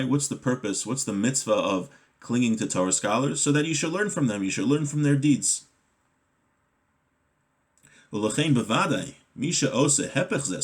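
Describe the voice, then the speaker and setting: Male religious teacher, mid-pitched voice, informal lesson delivery, plain explanations with repetition and some hesitation. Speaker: religious teacher giving a Torah class. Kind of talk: informal text lesson, reading aloud and explaining. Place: live-streamed online class.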